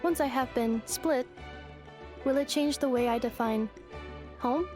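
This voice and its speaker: A woman's voice: high-pitched, unhurried, over music. A woman voicing an earnest, curious robot girl with memory loss, speaking in stilted, literal phrases for a video game. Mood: earnest, matter-of-fact.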